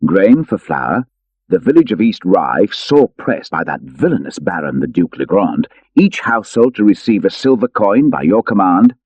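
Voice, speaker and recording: A man's voice: low-pitched, very animated, moderate pace, darkish tone, no echo, dull audio. A man voicing an earnest, dutiful outlaw treasurer in a storybook, careful and itemising.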